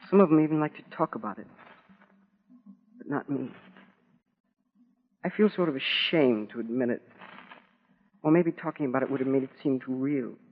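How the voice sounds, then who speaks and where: An actor playing a wistful, proud military school boy in an earnest, halting monologue to his mother, in 1940s radio drama style. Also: medium pitch, lightly acted. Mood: subdued, wistful. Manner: plain boyish colloquial talk, earnest and halting. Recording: scratchy, narrow-band 1940s radio recording.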